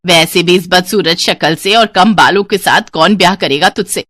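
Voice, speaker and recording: A woman's voice: fairly low pitch, normal speed, fairly bright tone, dry recording. A woman plays a middle-aged stepmother in a bedtime story, domineering and greedy. Her delivery is harsh and theatrical, recorded dry. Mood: scornful. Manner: scolding, domineering.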